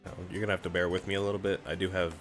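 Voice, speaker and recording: A man giving relaxed let's-play commentary, walking through a game tutorial, a bit raspy and clearing his throat now and then. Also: deep voice, racing, faint music behind, low-quality recording. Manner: relaxed, explanatory, reads on-screen prompts aloud. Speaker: gaming streamer.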